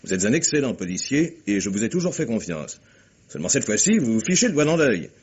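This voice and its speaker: A man playing an impatient senior police chief in 1950s French radio mystery style, gruff, authoritative and curt. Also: lowish voice, sing-song, fast talker, muffled recording. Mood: impatient, commanding.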